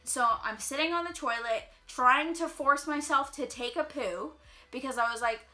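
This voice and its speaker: A young woman telling a personal story in a casual vlog, chatty and quick with lots of filler words, sounding a little congested. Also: high-pitched, bright, forceful tone. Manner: chatty, fast-flowing, heavy use of "like". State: slightly congested. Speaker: vlogger. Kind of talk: casual storytime while doing makeup.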